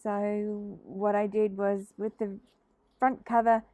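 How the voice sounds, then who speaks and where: A woman's voice: mid-range voice, flat delivery, slow, warm tone, no echo. A middle-aged Australian woman hosting a casual crafting video, chatting hesitantly with lots of ums and sounding slightly throaty from a cold. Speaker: craft channel host. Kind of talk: casual crafting tutorial chat.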